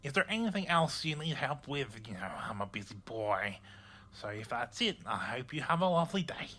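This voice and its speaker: A man's voice: mid-range voice, very animated, moderate pace, sharp tone, a little hiss or hum. A man voicing a polite, slightly hesitant supernatural hotel servant in an audio drama, courteous and eager to help. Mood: brisk, courteous. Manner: courteous, slightly hesitant, addresses listener as stranger.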